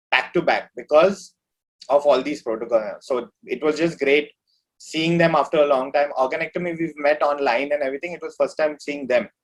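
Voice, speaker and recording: A man's voice: on the high side, low-quality recording. A man talking informally as an interview guest, rambling along in a casual chat. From India. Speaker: metal musician, interview guest.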